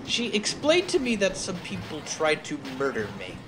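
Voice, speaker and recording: Guy with a slightly high voice, rambly stop-start game streamer chat, lively pitch, noisy home recording. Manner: halting, tangent-prone storytelling. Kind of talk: casual rambling chat over gameplay.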